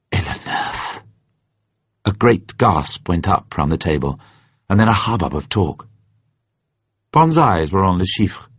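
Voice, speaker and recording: A man reading a story as a narrator in a measured, suspenseful, dramatic way. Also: low voice, slightly rough. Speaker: audiobook narrator. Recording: dull, muffled, roomy radio recording.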